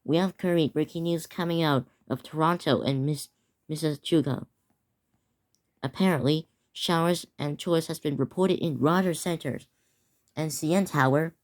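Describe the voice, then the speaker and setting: A man's voice: fairly high pitch, moderate pace. A teenage boy improvising a mock emergency broadcast, often stumbling and restarting his words. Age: teens. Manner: stumbling, restarting words while reading out an alert.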